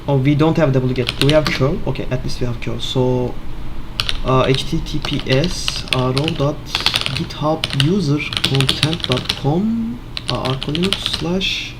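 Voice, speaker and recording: A man casually thinking aloud in an office while fixing software, halting with many filler sounds. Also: mid-range voice, very noisy. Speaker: Linux developer screencasting.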